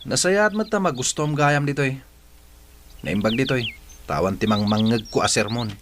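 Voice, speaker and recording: An actor playing a lazy, sleepy young husband in a radio drama, grumbling with an Ilocano accent. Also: male voice, mid-range voice, moderate pace, noisy background, close to an everyday voice. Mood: drowsy contentment. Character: lazy young married man, sleepy farm labourer.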